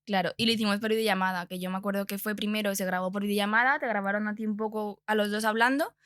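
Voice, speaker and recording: A woman's voice: medium pitch, fairly even intonation, fast, fairly bright tone, no echo, studio quality. A woman in her twenties with a Castilian Spanish accent, chatting informally and telling personal anecdotes as a podcast guest. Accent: Spanish (Spain). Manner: informal, colloquial. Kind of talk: casual chat, personal anecdotes.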